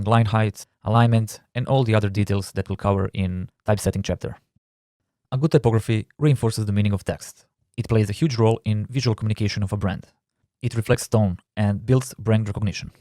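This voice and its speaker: Croatian-accented man teaching, low and dry. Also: a little mellow, no echo. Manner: explanatory, careful, slightly non-native phrasing. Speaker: typography instructor. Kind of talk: recorded course narration.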